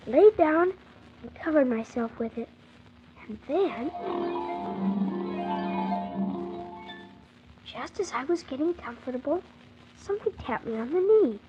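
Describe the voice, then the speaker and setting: A woman's voice: very high-pitched, darkish tone, exaggerated voice. A woman playing an eager, wide-eyed young orphan boy, telling his adventure earnestly and full of wonder in a 1940s children's radio serial. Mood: wonder-filled. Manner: earnest, wonder-filled, childlike storytelling with exclamations. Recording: muffled 1940s radio transcription, faint hiss.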